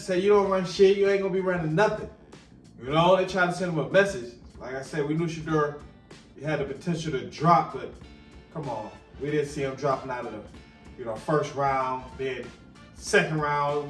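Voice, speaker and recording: A man with a slightly high voice hosts a casual sports commentary video. He talks in slang and repeats his phrases for emphasis. The sound is roomy and distant from the mic, with faint music. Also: fairly bright tone.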